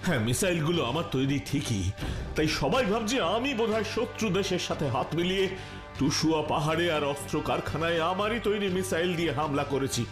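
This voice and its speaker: A man's voice: fairly high pitch, lively intonation, fairly quick, pauses often, close to an everyday voice. A man voicing an agitated, pleading scientist in a cartoon, often repeating himself. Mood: distressed, pleading. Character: distressed, pleading male missile scientist. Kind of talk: cartoon voice acting. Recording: loud music behind the voice.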